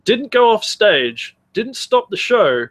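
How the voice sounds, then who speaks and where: A man's voice: high voice, very animated, slow pace, fairly bright tone. A man co-hosting a comedy podcast in casual banter, sardonic and prone to swearing. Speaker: podcast co-host. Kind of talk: casual banter and mock-dramatic readings.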